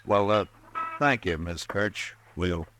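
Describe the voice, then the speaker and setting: Low steady marshal guy, short calm lines, old radio western. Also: slight background noise. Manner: terse, measured, commanding. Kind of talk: 1950s radio drama acting.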